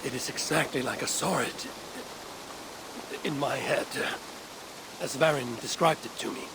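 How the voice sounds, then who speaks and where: An actor playing an earnest, curious Viking warrior leader in a video game, speaking deliberately. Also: male voice, mid-range voice, gravelly, background noise. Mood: wondering. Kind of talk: video game cutscene acting.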